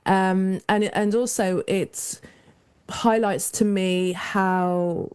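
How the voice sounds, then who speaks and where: A woman's voice: mid-range voice, fairly even intonation, drawn-out words, a little mellow, smooth. A woman with a young-sounding voice, a guest in a casual interview, speaking reflectively in long flowing thoughts. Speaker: guest, mentor to creative women.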